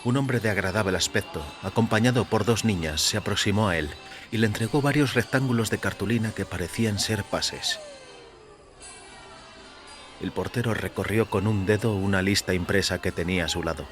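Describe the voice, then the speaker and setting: A man giving a measured, literary, dramatic narration of a short story in a deliberate manner. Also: lowish voice, music in the background. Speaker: audio fiction narrator.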